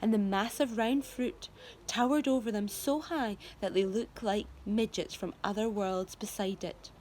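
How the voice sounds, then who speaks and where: A woman teacher reading a children's novel aloud to her class, expressive storytelling. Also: mid-range voice, unhurried, slight background noise, poor audio. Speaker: primary school teacher.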